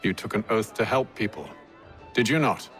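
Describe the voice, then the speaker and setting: Composed, low-voiced gentleman leader. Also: animated, soft background music. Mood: probing, reproachful. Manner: formal, measured, deliberate phrasing. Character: composed, principled gentleman leader of a secret brotherhood. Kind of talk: video game cutscene acting.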